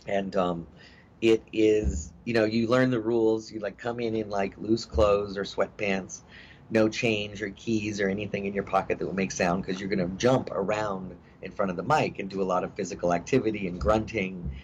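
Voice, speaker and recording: A middle-aged actor talking in a casual interview, rambling with many fillers and restarts. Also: male voice, lowish voice, flat delivery, normal speed. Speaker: actor guest. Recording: dull lo-fi audio with faint hiss.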